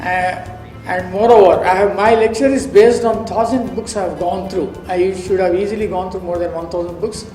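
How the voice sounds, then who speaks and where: A man's voice: high-pitched, steady tone, mellow. Man lecturing in an echoey hall. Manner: deliberate, repetitive, many pauses and fillers. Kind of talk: formal lecture. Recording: echoey hall, distant mic, faint music.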